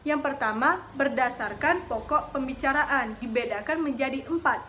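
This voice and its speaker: High-voiced young woman presenting. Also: moderate pace, slight background noise. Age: young adult. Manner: steady reading with frequent hesitation fillers. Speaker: student presenter. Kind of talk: reading a class presentation.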